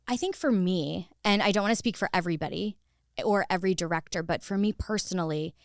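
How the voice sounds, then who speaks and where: Female interview guest, slightly low voice, conversational storyteller, dry recording. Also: quick pace, no echo. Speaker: podcast guest, actor turned director.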